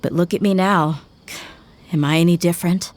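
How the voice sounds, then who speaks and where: A woman's voice: fairly low pitch, fairly even intonation, normal speed, darkish tone, slight background noise, natural voice. An actress playing a tough young mercenary woman grieving her father, speaking in an earnest, reflective way. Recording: game audio with music.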